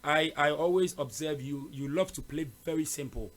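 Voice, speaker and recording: A man's voice: fairly high pitch, normal speed, fairly bright tone. A man hosting a casual interview, chatty, with frequent fillers and repeated words. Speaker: host.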